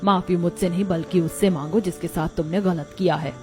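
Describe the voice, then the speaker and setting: A woman's voice: fairly low pitch, steady tone, fairly quick, a little mellow, soft background music, lightly acted. A woman voicing a shrewd, supportive female neighbour in a cartoon, assertive and righteous. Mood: accusing, righteous.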